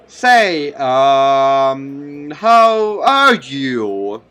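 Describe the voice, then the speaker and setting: A man plays an awkward teenage boy from a visual novel. He is hesitant and wry, with a bright voice and slow delivery. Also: medium pitch, very animated, exaggerated voice.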